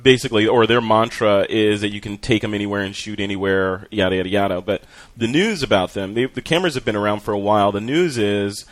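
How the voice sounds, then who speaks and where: A man's voice: fairly low pitch, quick pace, no echo. A man hosting a podcast, chatting casually through photography news with frequent fillers. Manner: relaxed, talkative with fillers and restarts. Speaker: podcast host.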